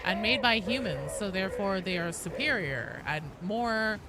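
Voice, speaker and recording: A woman streaming a game and chatting casually with viewers in a rambling, sweary, animated way. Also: mid-range voice, normal speed. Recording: clearly noisy background.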